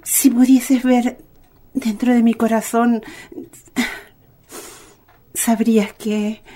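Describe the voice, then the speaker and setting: Tender Chilean mother, slow and high-pitched. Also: level tone, dark tone. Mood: sorrowful, tender. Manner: affectionate, sighing, motherly endearments. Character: tender, doting mother of a blind little girl. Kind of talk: radio drama acting.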